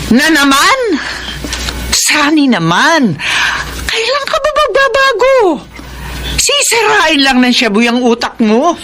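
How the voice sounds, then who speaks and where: Plaintive, high-voiced anguished mother. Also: wildly swooping pitch, takes it slowly, pauses often, sharp tone, slight background noise, full character voice. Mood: scolding, hurt. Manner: plaintive, pleading. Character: anguished, loving mother pleading with wayward son. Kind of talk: melodramatic radio drama acting.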